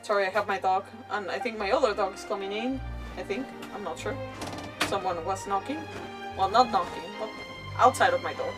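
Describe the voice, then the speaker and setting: A woman giving casual, rambling livestream commentary with animated asides as she plays a game. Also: medium pitch, brisk, distant mic. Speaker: game streamer. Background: video game music.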